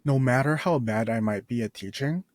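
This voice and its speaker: A man's voice: mid-range voice, normal speed, a little mellow, dry recording, clean studio sound. A man in his twenties casually telling a personal story as a podcast host, rambling with restarts and laid-back slang. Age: 20s.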